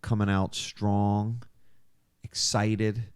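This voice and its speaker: Smooth, very low-voiced man co-hosting. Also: little pitch movement, very slow, a little mellow, dry recording, clean studio sound. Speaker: podcast co-host. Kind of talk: casual podcast chat, promoting shows.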